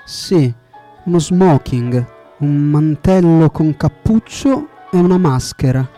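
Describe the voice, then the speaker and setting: Slow, dark-voiced mysterious costume customer, acted out. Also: mid-range voice, lively intonation, faint music behind. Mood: terse, solemn. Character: customer ordering a tuxedo, hooded cloak and mask. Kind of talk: scripted promo sketch.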